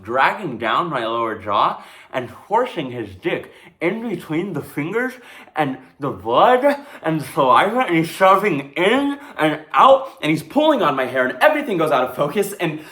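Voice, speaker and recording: A man playing a dazed, terrified young street hustler in a breathless, halting dramatic stage monologue. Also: mid-range voice, sharp tone. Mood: desperate, panicked. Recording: echoey room.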